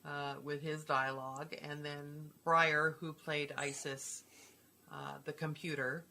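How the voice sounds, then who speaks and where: A woman's voice: sits low, flat delivery, slow, sharp tone. A woman co-hosting and co-producing, chatting casually as she introduces and comments. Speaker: co-host, co-producer. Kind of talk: casual hosted chat.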